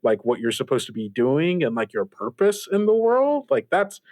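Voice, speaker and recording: A man playing a polite, cautious, duty-bound character in improvised roleplay, hesitant and measured as he speaks. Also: mid-range voice, big pitch swings, a little mellow, no echo, natural voice. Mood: cautious, uneasy. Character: polite, cautious host, resigned to duty, wary of temptation. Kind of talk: improvised actual-play roleplay dialogue.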